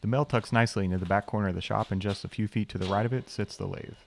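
A young man with a low voice delivers a quick, scripted voice-over tour of his workshop. His humor is dry and wry, full of self-deprecating asides. A faint hiss sits under the recording. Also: fairly even intonation. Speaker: channel host, machinist.

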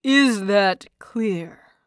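A woman's voice: mid-range voice, animated, drawn-out words, no echo, studio quality. A woman voicing a stern, disapproving older male teacher in audiobook dialogue, emphatic, clipped and scolding.

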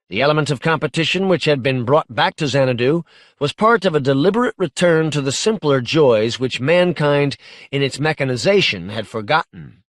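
A man reads literary science fiction in a measured, articulate way. His voice sits a little higher than most men's. The sound is dry, with hardly any room around it. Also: no echo. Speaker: audiobook narrator.

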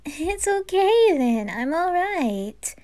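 A woman puts on a downcast teenage boy from an anime roleplay. He talks slowly and quietly, with a shy, hesitant, subdued manner. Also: extremely high pitch, very animated, pitched above the performer's own voice, heavily acted. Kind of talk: amateur anime audio roleplay.

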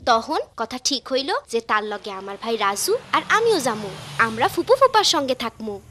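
A woman voicing an earnest, gentle young village girl telling her story in a cartoon, with a rural Bangladeshi accent. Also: fairly high pitch, sing-song, moderate pace, slight background noise. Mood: storytelling.

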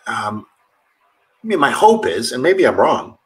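A man's voice: medium pitch, sing-song, measured pace, poor audio. A man in his thirties, a pastor, talking earnestly over a video call from his home office, often restarting his sentences. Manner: earnest, rambling with many restarts. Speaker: pastor, guest.

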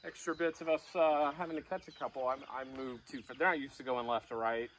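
A man in his forties hosting a casual farm vlog outdoors, with a relaxed drawl and plenty of fillers. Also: mid-range voice, quick pace. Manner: relaxed, folksy, with frequent fillers. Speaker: homestead farmer and channel host. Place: outdoors on a rural farm yard. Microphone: camera mic, at times from a distance. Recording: outdoor camera audio, slightly distant, faint hiss.

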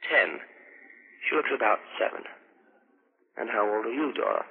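A man's voice: on the low side, wildly swooping pitch, normal speed, creaky, slightly rough. A man interviewing for 1940s radio, asking short simple questions and repeating answers back. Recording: narrow, hissy vintage 1940s radio recording.

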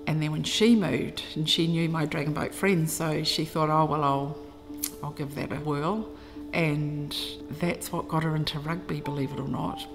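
A woman's voice: low-pitched, steady tone, normal speed, gravelly. A middle-aged woman telling stories about her daughter in a documentary interview. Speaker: athlete's mother. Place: room lined with framed photos. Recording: music underneath.

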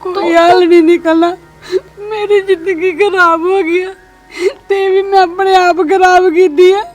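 A woman's voice: fairly even intonation, normal speed, a little mellow, natural voice. A woman acting a distressed young married woman who cries and sounds despairing. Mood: tearful. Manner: sobbing, despairing, low subdued delivery. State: crying. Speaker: actor. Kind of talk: scripted drama acting. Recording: distant mic with faint music.

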